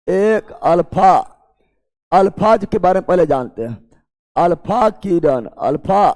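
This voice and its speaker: A man's voice: sits high, a little mellow. A man with a Bihari accent teaching an exam coaching class, repeating key phrases as if dictating and quizzing his students in an informal way. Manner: informal, teasing address to students. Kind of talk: classroom lecture with drilled question and answer.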